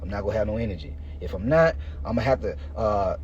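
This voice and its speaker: A man's voice: on the low side, animated, quick pace, a little mellow. A man in his thirties hosting a fitness podcast, giving an informal motivational monologue in slangy, colloquial language aimed straight at listeners. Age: 30s. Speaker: podcast host and fitness coach. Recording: muffled, noisy lo-fi recording.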